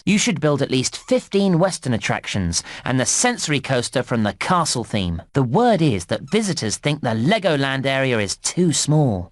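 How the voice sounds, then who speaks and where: A man's voice: on the high side, dry recording, studio quality. A man voicing an upbeat, encouraging theme park guide in a children's game, with bouncy, exclamatory scripted delivery. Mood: eager, instructive.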